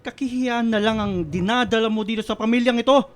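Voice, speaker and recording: An exasperated older father in a Filipino radio drama. His voice is unusually high for a man, scolding and halting, with music playing behind him. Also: clean tone. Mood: shocked, scolding. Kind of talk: Filipino radio melodrama acting.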